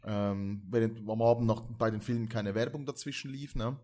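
A man's voice: fairly low pitch, moderate pace, warm tone. A man from Switzerland chatting casually as a podcast co-host, agreeable and often interjecting confirmations. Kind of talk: casual chat.

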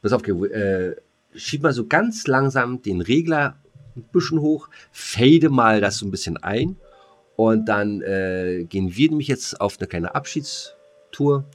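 A man's voice: on the low side, lively intonation, measured pace. A man co-hosting a podcast in casual, slangy, rambling and jokey banter. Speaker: podcast co-host. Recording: music playing under the voice.

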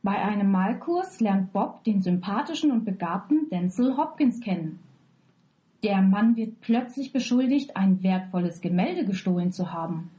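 A woman reads a scripted synopsis for a podcast. Her voice is soft-edged and mellow at an average pitch. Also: animated, moderate pace, lo-fi sound. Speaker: podcast presenter of episode synopsis. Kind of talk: scripted narration.

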